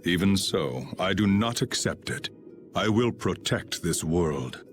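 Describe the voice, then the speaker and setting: Game role: stern, aloof guardian swordsman, very low, slow, formal delivery over faint music. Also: lively intonation. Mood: cold, solemn. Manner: formal, solemn, measured. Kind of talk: video game cutscene acting.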